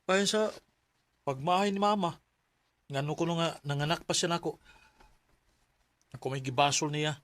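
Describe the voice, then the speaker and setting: A man's voice: fairly high pitch, a bit bright, close to an everyday voice. A man with a Cebuano Filipino accent playing a disgruntled, protective young man in a radio drama.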